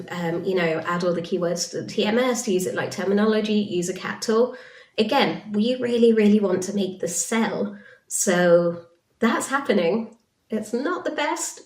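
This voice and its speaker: A woman giving an informal presentation in a frank, conversational, explanatory way. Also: fairly low pitch. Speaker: conference presenter, industry consultant. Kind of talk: informal conference-style presentation. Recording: roomy echo, distant microphone.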